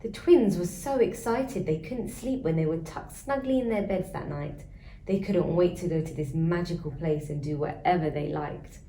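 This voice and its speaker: A woman reads a children's story in a low, very mellow voice. She narrates as a storyteller in a strongly echoing room, with faint noise behind her. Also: moderate pace. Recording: roomy echo, faint background noise.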